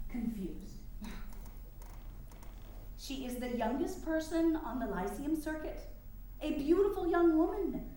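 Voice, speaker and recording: A woman's voice: high voice, warm tone. A woman acting a resolute, confiding mother and suffrage campaigner in a staged period drama, speaking earnestly in formal phrasing. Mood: concerned, wry. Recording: very echoey room with faint noise.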